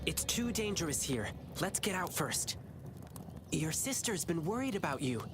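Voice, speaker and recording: An actor playing an earnest, protective young lion dancer in a video game. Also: male voice, a little high, sing-song, moderate pace. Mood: reassuring. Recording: loud music behind the voice. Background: loud game music.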